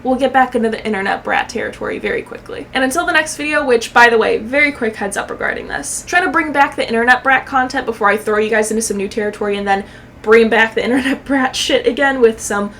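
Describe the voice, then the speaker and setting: A young woman with a fairly high voice gives casual video commentary. She is slangy, sweary and sarcastic, with faint noise behind her. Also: fast talker, a bit bright. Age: young adult. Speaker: YouTube commentator.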